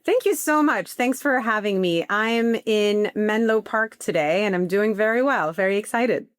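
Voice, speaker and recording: A woman in her late thirties, raised in France, answers interview questions eagerly and often restarts her sentences. Her voice is smooth and clear, and she is heard dry and close with no room around her. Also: medium pitch, moderate pace, no echo. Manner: warm, eager, some restarts. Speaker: guest. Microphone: remote call.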